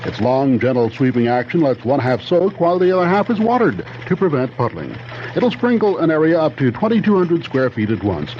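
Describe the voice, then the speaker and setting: A man's voice: mid-range voice, big pitch swings, a little fast, darkish tone. A man reading a 1970s radio advertisement in a polished, salesmanlike manner. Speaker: commercial announcer. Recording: dull old radio recording, faint noise.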